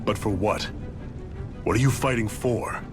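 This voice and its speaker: A man plays a middle-aged resistance leader from a video game cutscene. His voice is on the low side, commanding and plain-spoken with a folksy touch. Loud music plays behind him. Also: unhurried, lightly acted. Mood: frank, challenging. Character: steady, rallying male resistance leader, gruffly fatherly.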